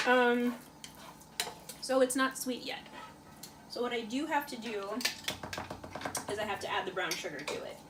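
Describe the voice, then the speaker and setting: A woman hosting a casual home cooking video, chatting with lots of fillers as she stirs a pot. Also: a little high. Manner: chatty, many fillers, talks while stirring. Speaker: cooking channel host. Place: home kitchen. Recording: audible kitchen noise. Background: frying and stirring sounds.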